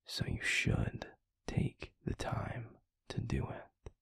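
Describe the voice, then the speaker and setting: A man playing a tender, protective werewolf boyfriend in an intimate ASMR roleplay, speaking in a breathy, gravelly, soothing whisper. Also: no room sound, clean studio sound. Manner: soothing, slow, gently coaxing. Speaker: audio roleplay voice actor.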